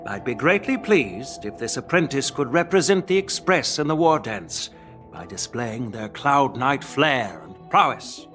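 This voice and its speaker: Anime game elder general: courtly, encouraging, quietly sly, with an expressive, swinging delivery. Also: male voice, medium pitch, unhurried, faint music behind. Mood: measured, persuasive.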